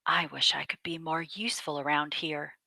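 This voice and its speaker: A woman's voice: low voice, little pitch movement, normal speed, gravelly, bright, forceful tone, no echo, clean studio sound, heavily acted. A woman voicing a hapless male peacock in a picture book, earnest and plain, wanting to be useful. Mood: glum.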